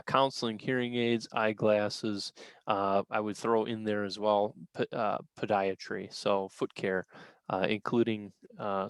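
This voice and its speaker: A man with a fairly low voice and an American accent gives an informal explanatory briefing. He talks haltingly, often pausing partway through a sentence. The sound is dry, with little room echo. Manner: halting, explanatory, frequent pauses mid-sentence. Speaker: county veterans service officer presenting benefits.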